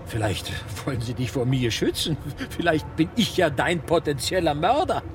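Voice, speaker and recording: An actor playing an agitated, self-pitying middle-aged teacher in a radio drama, emphatic, impatient and sardonic. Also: male voice, fairly high pitch, lively intonation, normal speed, natural voice. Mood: sardonic, pleading. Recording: street-like traffic noise behind the call.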